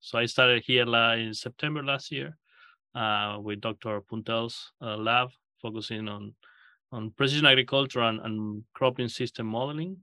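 Man from Argentina, podcast guest, hesitant with lots of "uh"s, bright tone, dry close sound. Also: medium pitch, steady tone, dry recording. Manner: halting, frequent "uh" fillers. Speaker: guest researcher. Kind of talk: podcast interview.

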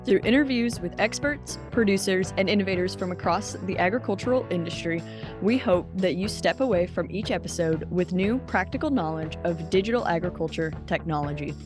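A woman co-hosting a student podcast, reading the scripted opening in a clear, rehearsed way. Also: lowish voice, brisk. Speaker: co-host, student. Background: guitar music.

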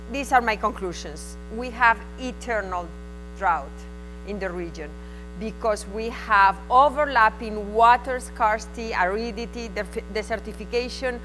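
A woman's voice: mid-range voice, pushed and bright, poor audio. Spanish-accented woman giving a conference talk. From Spain. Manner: frank, conversational, speaks in short bursts. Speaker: invited conference speaker. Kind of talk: conference presentation. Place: conference hall.

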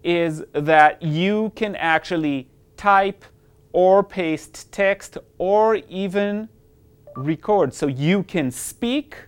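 A man's voice: high-pitched, very slow, clear, resonant tone. A man whose first language is Hebrew, presenting an upbeat, explanatory screencast tutorial on classroom apps. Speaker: educational technology presenter.

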